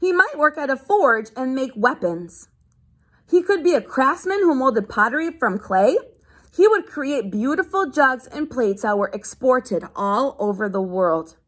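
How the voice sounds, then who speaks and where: A woman reading a children's book aloud like a teacher, pausing to explain things simply as if to young pupils. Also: sits high, animated, a bit bright. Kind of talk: read-aloud lesson with simple explanatory asides.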